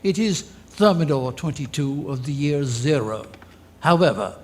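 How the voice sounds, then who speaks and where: Male actor as officious revolutionary bureaucrat, highish voice, animated intonation, pompous, formal, radio play. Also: unhurried. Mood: officious, haughty. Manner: stiff, pompous, throat-clearing formality. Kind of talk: radio theatre acting.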